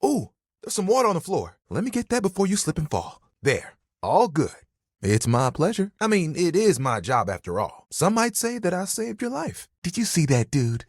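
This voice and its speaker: A man's voice: high-pitched, wildly swooping pitch, moderate pace, no room sound, clean studio sound, heavily acted. A man voicing a friendly towel come to life as a young man in a video game, chatty, eager and casual. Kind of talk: video game character acting.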